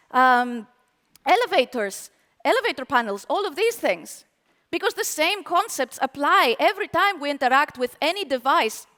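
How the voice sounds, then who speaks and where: High-voiced, animated woman on a conference stage. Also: in a large hall. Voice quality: very high-pitched voice. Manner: animated, playful, asks the audience questions. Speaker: conference speaker. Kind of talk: live tech conference talk. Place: conference hall with live audience.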